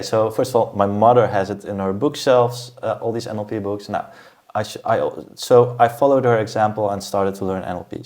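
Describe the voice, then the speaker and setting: Low-voiced man telling his story. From Germany. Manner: thoughtful, steady storyteller with many fillers. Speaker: guest. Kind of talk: casual interview talk.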